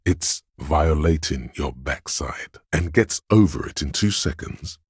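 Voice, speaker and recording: Very deep, gravelly voice of pain. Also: male voice, lively intonation, dry recording, studio quality, exaggerated voice. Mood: grim, brooding. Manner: slow, deliberate, weighty, intense delivery. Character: inner voice of pain and endurance, grim, goading, brooding. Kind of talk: dramatic video game narration.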